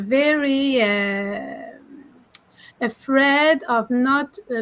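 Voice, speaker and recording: A woman from Algeria speaking as a panel guest, sharing a personal account haltingly and searching for words. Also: a little high, a bit bright.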